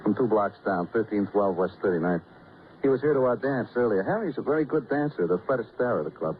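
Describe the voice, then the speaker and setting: An actor playing a morose, laconic veterans' club caretaker in an old radio drama, with terse, wry streetwise phrasing. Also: male voice, lowish voice, animated, fairly quick, narrow, tinny audio, lightly acted. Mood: wry, mournful. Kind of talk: 1940s radio drama acting. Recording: noisy, band-limited old radio recording.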